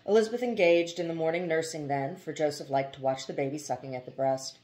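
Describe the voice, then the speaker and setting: A woman's voice: sits low, quick pace, warm tone. A woman narrating a novel as an audiobook reader, in a literary storytelling style.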